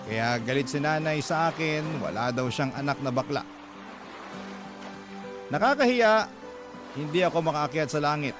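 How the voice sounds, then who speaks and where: A man with a Filipino accent narrating a first-person dramatic story as a radio storyteller. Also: on the high side. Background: music bed.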